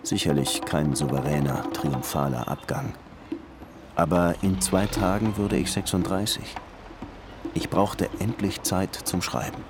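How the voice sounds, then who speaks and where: A man's voice: deep voice, fairly even intonation, measured pace, heavy background noise. An actor playing a disillusioned police lieutenant in his thirties who wants to write, brooding and wry, in a radio crime drama. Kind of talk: radio crime drama, first-person narration and dialogue. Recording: music behind the voice.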